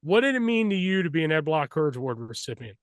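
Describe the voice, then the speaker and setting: A man's voice: a little high, sing-song, fast, dry recording, clean studio sound. A man hosting a sports interview, introducing guests and putting questions to them. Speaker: show host.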